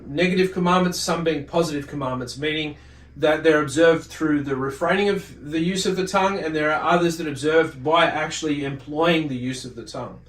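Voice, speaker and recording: Guy giving a religious lesson, halting and rambly, kinda far from the mic, echoey room. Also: a little high, a bit bright. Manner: halting, deliberate, rambling with self-corrections. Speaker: religious teacher. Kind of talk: informal religious lecture, reading and explaining from a book. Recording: roomy echo, distant microphone.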